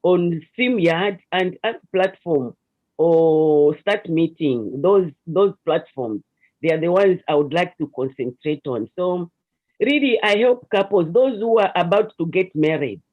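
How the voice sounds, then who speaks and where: A woman with a Zambian accent and a deep voice, earnestly explaining her counseling work as a guest in an online interview, with hesitant restarts. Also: lively intonation, measured pace. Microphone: video call. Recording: lo-fi video call audio.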